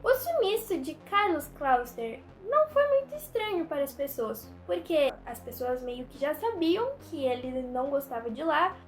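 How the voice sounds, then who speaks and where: A woman's voice: high-pitched, big pitch swings, moderate pace, hardly any pauses, soft background music. A young woman telling a true crime story in a casual, chatty way, full of tag questions and fillers, with the odd joking aside.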